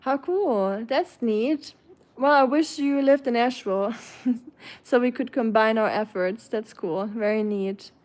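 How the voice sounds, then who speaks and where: German woman, german accent, livestream host, chatty casual talk, fairly high voice, phrases trail off quietly. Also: measured pace. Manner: chatty and warm toward viewers, with frequent fillers and soft laughs. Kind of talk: casual chat, reading viewer comments aloud.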